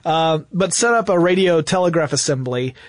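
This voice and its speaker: Male podcast host, slightly high voice, conversational explainer, storytelling manner, dry close sound. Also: expressive, moderate pace, no echo.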